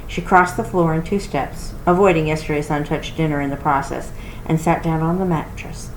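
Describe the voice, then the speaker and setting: A woman giving an expressive storybook read-aloud, like a children's library reader. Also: sits low, normal speed. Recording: roomy echo with clear background noise.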